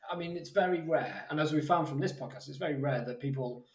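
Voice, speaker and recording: A man's voice: on the high side, fast, reverberant. A man co-hosting a podcast, rambling casually and peppering his talk with "like". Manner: rambling, swears casually, says like a lot. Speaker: podcast co-host. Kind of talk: casual conversation.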